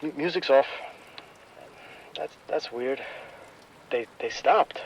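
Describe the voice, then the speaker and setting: A man's voice: fairly high pitch, takes it slowly, creaky, background noise. An actor playing a terrified nightclub DJ trapped in his booth, stammering and panicked, in a radio drama. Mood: terrified whisper.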